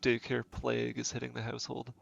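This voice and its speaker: A man's voice: mid-range voice, monotone, fast talker, gravelly, a little hiss or hum. A man, a game streamer with a stuffy nose from a cold, thinking aloud and correcting himself in easygoing, casual gameplay commentary. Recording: dull lo-fi stream audio. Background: faint game music.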